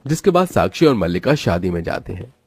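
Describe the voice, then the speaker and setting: Low-voiced, lively male storyteller. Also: fairly quick, breathy edge. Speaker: narrator. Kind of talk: scripted storytelling narration.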